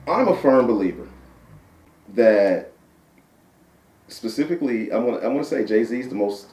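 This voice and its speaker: A man with a deep voice, a guest poet chatting casually in an interview, repeating himself and tagging points with "right?". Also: lively intonation, moderate pace, darkish tone. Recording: roomy sound from a distant mic.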